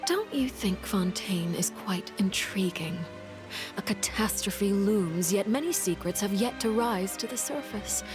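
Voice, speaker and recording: A woman playing a composed, calculating intelligence agent in a video game, speaking in a measured, formal, coolly polite manner. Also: on the low side, lightly acted. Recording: music behind the voice.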